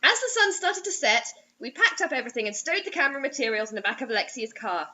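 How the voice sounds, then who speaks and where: Female co-host for a comic story reading: lively, high, mock-dramatic and sarcastic, on slightly dull home audio. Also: fast. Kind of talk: comedic dramatic reading with banter.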